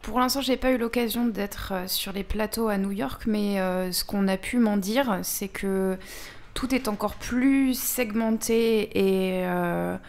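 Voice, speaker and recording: A woman speaking as a guest in a casual radio discussion, measured and explanatory. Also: mid-range voice, darkish tone. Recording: faint background noise.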